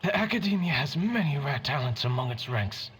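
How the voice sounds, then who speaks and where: An actor voicing the solemn, melancholic mind fragment of a dead scholar in a game, with a measured, theatrical delivery that sinks toward breathy near-whispers. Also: male voice, on the high side, sing-song, fairly bright tone, a little hiss or hum. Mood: solemn, stately. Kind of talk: dramatic game voice acting, slow monologue.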